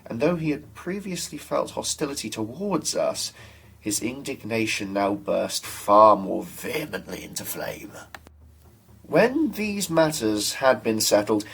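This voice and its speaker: Husky young man reading aloud. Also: mid-range voice, rough recording. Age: young adult. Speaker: student reader. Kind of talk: dramatic reading of a translated ancient history.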